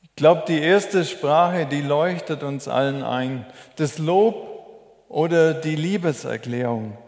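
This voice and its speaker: A man preaching a sermon as a preacher, in a measured, deliberate, explanatory way. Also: on the high side, a little mellow. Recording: echoey hall with long reverb.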